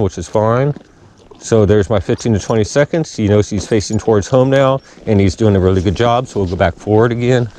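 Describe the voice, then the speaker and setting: Man, horse trainer, mellow voice, calm plain talk, outdoor trail, action camera. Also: mid-range voice, slight background noise. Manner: calm, plain-spoken, frequent fillers. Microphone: head-mounted action camera.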